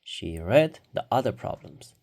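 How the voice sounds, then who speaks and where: A story reader giving a deliberate, expressive read-aloud of a children's storybook. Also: low-pitched, creaky.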